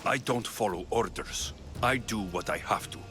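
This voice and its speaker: A man's voice: on the low side, moderate pace, close to an everyday voice. A man playing a determined, defiant young Greek mercenary hero in a game cutscene, blunt and resolute. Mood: firm. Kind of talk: video game cutscene acting. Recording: loud music behind the voice.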